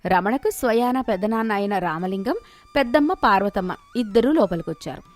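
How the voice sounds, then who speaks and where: A woman's voice: medium pitch, animated, a little fast. A woman reading a scripted moral story as its narrator.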